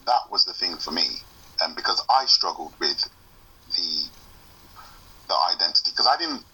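A man's voice: sits low, expressive, normal speed, bright, forceful tone, husky, distant mic, poor audio. A man speaking earnestly as an advocate in an interview, repeating his words as he goes. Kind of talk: casual interview answers.

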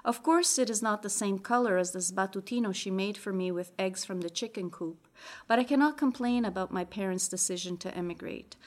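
Woman, from Italy, Venetian dialect first language, reading her prose aloud, measured, middle pitch. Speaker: writer.

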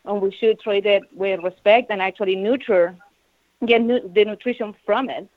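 Female guest, earnest and measured, thin dry phone-like audio. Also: medium pitch, fairly even intonation, no echo. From Chile. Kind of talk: casual radio talk show chat.

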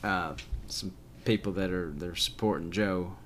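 A man co-hosting a podcast, joking in a wry, deadpan way. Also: low-pitched, a little slow, a little hiss or hum. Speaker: podcast co-host. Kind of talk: casual chat.

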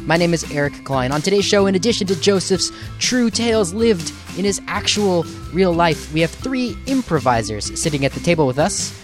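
A man's voice: high-pitched, sing-song, moderate pace, hardly any pauses, over music. A man hosting a podcast, introducing the show and putting questions to his guest. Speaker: podcast host.

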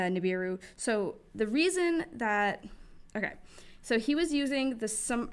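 A woman's voice: medium pitch, clean tone. A young woman co-hosting a casual talk podcast, chatty and full of fillers like "like", giggling easily. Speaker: podcast co-host. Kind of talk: casual conversational chat.

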